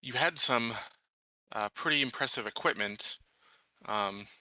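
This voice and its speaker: A man takes part in a tabletop roleplaying session as a player. His voice is very bright and sharp, with measured, formal phrasing, on a dry close recording. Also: medium pitch, no room sound, poor audio. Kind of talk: in-character roleplay and table talk.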